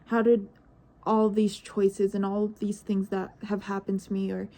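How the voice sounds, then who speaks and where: Nineteen-year-old woman, vlogger; hesitant, reflective monologue, dark mellow tone. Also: medium pitch, level tone, moderate pace. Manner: searching, hesitant, trails off into questions.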